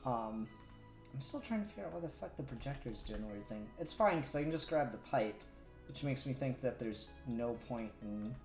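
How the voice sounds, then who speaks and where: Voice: medium pitch, expressive, moderate pace, a little mellow. A livestreamer chatting casually and muttering to themselves while working, with the odd swear word. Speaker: livestreamer doing 3D modelling. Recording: roomy echo with music behind.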